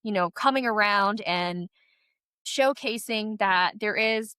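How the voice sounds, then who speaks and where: A woman's voice: mid-range voice, slow pace, clear, resonant tone, no room sound. Woman, stuffy from a cold, chatty podcast host, dry close sound. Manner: chatty, animated, laughs often. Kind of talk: casual chat.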